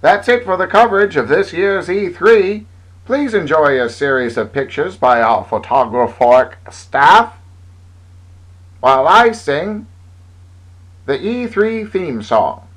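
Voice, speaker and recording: A man's voice: fairly high pitch, expressive, slow pace, a bit bright. A man narrating a parody old-time newsreel in a mock-grandiose announcer delivery.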